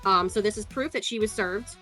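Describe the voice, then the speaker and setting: A woman's voice: medium pitch, a little fast, pushed and bright. Scornful, sarcastic woman over music. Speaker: online commentator. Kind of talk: casual commentary walking through court records.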